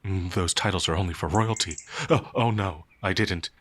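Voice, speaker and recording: A man playing a gentle, anxious werewolf outlaw in a fantasy ASMR roleplay, hesitant, stammering and apologetic. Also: low voice, moderate pace, slight background noise. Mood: flustered, submissive. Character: gentle, timid, anxious male werewolf outlaw, easily frightened.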